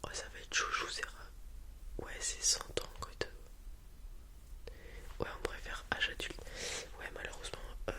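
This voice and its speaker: A person whispering softly and breathily in a hesitant, improvised ASMR roleplay as a helpful dragon breeder explaining to a customer. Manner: hesitant, improvised explaining with many pauses and repetitions.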